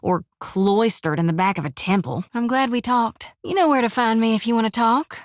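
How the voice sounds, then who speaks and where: A woman's voice: a little high, moderate pace, no echo, studio quality, natural voice. An actress voicing a devout, earnest young woman priest who travels as a companion, speaking in a folksy drawl. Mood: cheerful. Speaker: game companion character. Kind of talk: video game voice acting.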